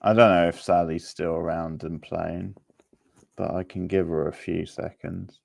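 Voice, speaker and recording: A low-pitched man runs a casual quiz at a slow pace. His voice has a gravelly fry to it. He speaks to players informally and sets out each option deliberately. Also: fairly even intonation, a little mellow. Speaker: quiz host. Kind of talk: casual live quiz hosting.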